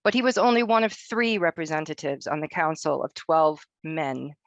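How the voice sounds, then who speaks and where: Gravelly-voiced woman reading an academic talk. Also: sits low, lively intonation, normal speed, no room sound, muffled recording. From Northern Norway. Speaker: researcher presenting at a roundtable. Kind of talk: prepared academic presentation.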